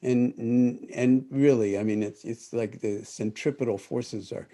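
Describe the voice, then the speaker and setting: A man's voice: mid-range voice, steady tone, measured pace, warm tone. An older man from the United States leading an online meditation group on a video call, speaking thoughtfully and correcting himself as he goes. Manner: thoughtful, rambling with self-corrections. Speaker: meditation teacher. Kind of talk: live-streamed talk to an online group.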